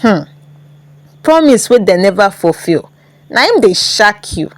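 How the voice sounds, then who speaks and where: A woman voicing a calm, envious young woman with a dry, sceptical manner in a story. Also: mid-range voice, measured pace, no echo. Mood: knowing.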